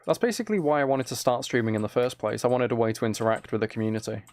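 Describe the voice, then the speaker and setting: A man's voice: medium pitch, rapid-fire, a little mellow, a little hiss or hum. A man chatting casually and teasingly to his viewers while playing a game on a livestream.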